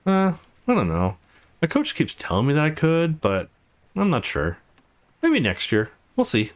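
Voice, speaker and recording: A man voicing a tough but secretly soft young woman in a visual novel, blunt, sarcastic and reluctant. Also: fairly high pitch, wildly swooping pitch, a little slow. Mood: relaxed.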